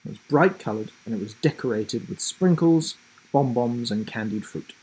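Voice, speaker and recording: A man reading a children's story aloud in a dramatic, deliberate narrating style. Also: medium pitch, animated, darkish tone, husky, low-quality recording. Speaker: storybook reader, host.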